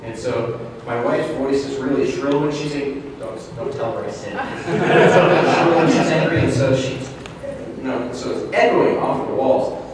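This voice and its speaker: A man telling casual, halting, jokey anecdotes as an audio drama producer on a convention panel. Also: medium pitch, animated. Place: large indoor convention room. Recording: very echoey hall, distant mic, noisy.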